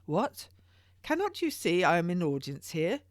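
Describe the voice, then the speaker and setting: A woman's voice: lowish voice, sing-song, a little slow, no echo, clean studio sound, pitched above the performer's own voice. A woman voicing a theatrical, pompous king of England who speaks in grand, exclamatory, archaic courtly phrases in an audiobook. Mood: imperious.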